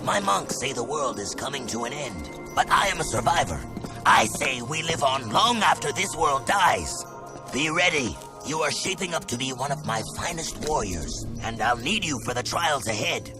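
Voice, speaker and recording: A man voicing a stern warrior king, commanding and measured. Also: mid-range voice, animated, music in the background, lightly acted.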